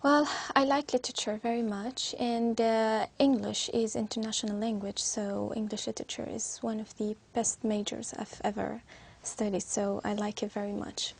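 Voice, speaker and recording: Young Syrian woman, gravelly creak, flat pitch. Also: normal speed. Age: young adult. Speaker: student being interviewed as a speaking test candidate. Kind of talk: spoken exam answers.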